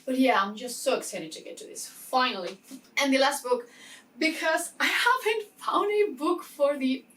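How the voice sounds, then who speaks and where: A woman talking casually to camera as a book vlogger, chatty and excitable, often interrupting herself with asides. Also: high-pitched, normal speed, recorded from a distance, rough recording. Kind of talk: casual chatty reading-plan talk to camera.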